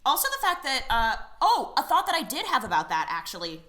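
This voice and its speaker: Bright, animated woman podcaster. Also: high voice, brisk. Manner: animated, laughing, trailing off in asides. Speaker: podcast co-host. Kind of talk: casual anime discussion.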